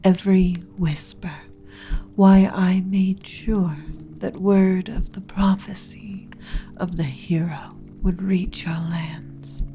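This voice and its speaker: Casting: ancient undead lich queen played by a woman, solemn and lovesick, low-pitched and very slow, whispery, intimate ASMR roleplay delivery. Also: fairly even intonation, dark tone, slight background noise. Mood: longing, confessional. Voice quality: breathy, whispery. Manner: slow, intimate, dramatic, formal. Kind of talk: ASMR romantic roleplay monologue. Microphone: close ASMR mic. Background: music.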